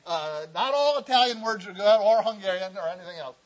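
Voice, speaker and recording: A man's voice: high-pitched, expressive, fairly quick. A man teaching an informal Bible class in a classroom, talking conversationally with chatty asides to his students. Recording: dull lo-fi recording.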